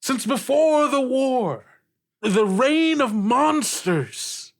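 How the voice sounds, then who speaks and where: Man playing a rousing ship captain, pitched up. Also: animated, extremely slow, heavily acted. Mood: rousing, triumphant. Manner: declamatory, building speech to a crowd. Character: rousing ship captain rallying a weary crew. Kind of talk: tabletop roleplay speech.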